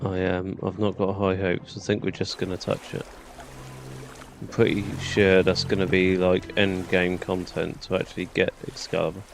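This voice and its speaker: A man's voice: low-pitched, monotone, normal speed, creaky, noisy background. A man giving casual gameplay commentary, chatty and thinking aloud. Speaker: gaming YouTuber. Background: game music.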